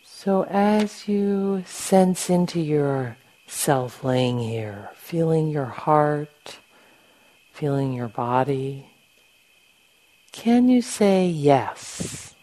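Slow, low-voiced woman guiding meditation. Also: expressive, rough recording. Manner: gentle, unhurried, with long pauses. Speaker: meditation teacher. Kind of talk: guided meditation, softly instructing.

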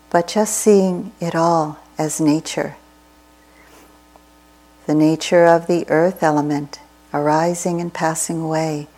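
A woman teaching a guided meditation in short, measured, soothing phrases with long pauses between them. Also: deep voice, a little mellow, low-quality recording.

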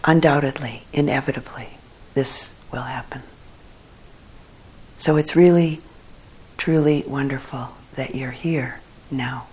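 Very slow, husky woman guiding meditation. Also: very deep voice, rough recording. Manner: calm, measured, with long pauses. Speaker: meditation teacher. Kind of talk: guided meditation instruction to a group.

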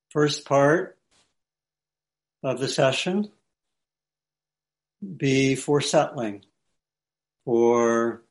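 A man teaching meditation, giving light guided instructions in short phrases with pauses between them. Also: mid-range voice.

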